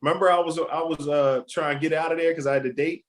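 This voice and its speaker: A man hosting a podcast, telling stories in a relaxed, rambling way. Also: on the high side, fast talker, a crowd in the background. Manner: relaxed storytelling with fillers. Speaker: podcast host. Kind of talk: casual podcast chat.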